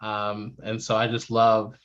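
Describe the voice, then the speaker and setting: A man's voice: on the low side, monotone, unhurried, a bit bright, highs cut off. A man speaking as a panelist in an informal, thoughtful and earnest way. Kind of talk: casual reflective discussion.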